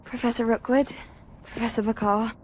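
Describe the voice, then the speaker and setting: A woman's voice: a little high, steady tone, normal speed, a little mellow, a little hiss or hum, lightly acted. A woman acting an earnest, curious young witch student in a video game, speaking politely. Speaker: voice actor.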